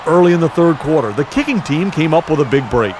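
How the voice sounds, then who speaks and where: Guy narrating a sports doc, calm scripted read, kinda higher voice, some noise behind. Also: fast talker. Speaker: documentary narrator.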